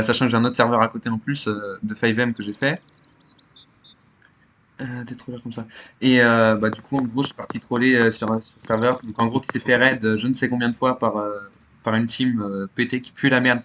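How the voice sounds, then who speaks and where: A man's voice: mid-range voice, a bit bright. A man casually commenting to his viewers as a game troll, with crude, slangy talk. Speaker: streamer trolling on a game server. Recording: noisy gaming voice-chat recording.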